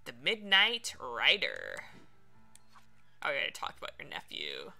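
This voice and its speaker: A woman's voice: a bass voice, wildly swooping pitch, normal speed, gravelly, pushed and bright, soft background music. A woman doing casual Let's Play commentary, chatting and thinking aloud as she plays. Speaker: streamer playing a video game.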